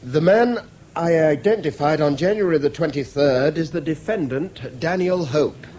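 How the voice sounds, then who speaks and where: An actor as an earnest, higher-voiced English schoolmaster. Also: male voice, normal speed, a little mellow, natural voice. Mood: grave. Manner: earnest, precise, defensive. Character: conscientious, stubborn English schoolmaster under pressure as witness. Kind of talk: 1970s British radio drama acting. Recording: faintly noisy old radio broadcast.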